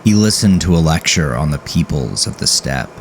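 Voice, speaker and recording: A man narrating a scripted dark horror story in a grave, measured, dramatic way, drawing out his words. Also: a bass voice, very animated, vocal fry, a little hiss or hum.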